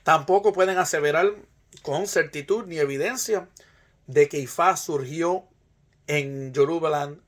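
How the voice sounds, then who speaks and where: Higher-pitched Puerto Rican man, emphatic lecturer. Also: measured pace, fairly bright tone. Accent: Puerto Rican Spanish. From Puerto Rico. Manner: emphatic, rhetorical, repeats phrases for emphasis. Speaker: presenter, Ifa practitioner. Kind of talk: argumentative informal lecture.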